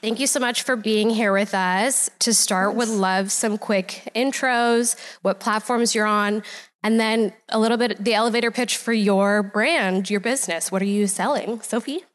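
A woman's voice: medium pitch, steady tone, moderate pace. A woman moderating a live panel, introducing the guests with enthusiasm. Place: live event stage.

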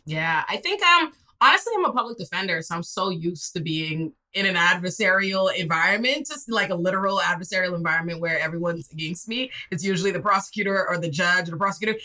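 A young woman from the Bahamas, a public defender, chatting casually on an interview show and acting out quotes with lively laughter. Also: lowish voice, quick pace, hardly any pauses, rough recording. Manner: animated storyteller, laughs often, acts out quotes. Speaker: public defender and political commentator.

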